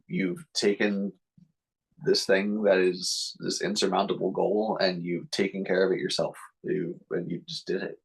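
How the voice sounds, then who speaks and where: Deep gravelly-voiced man guest. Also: rough recording. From Vermont, US. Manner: casual, searching for words. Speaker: interview guest. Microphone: video call.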